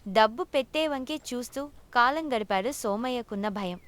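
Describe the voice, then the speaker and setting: A woman's voice: a little high, animated, moderate pace, a bit bright. A woman narrating a scripted moral story for children as a storyteller.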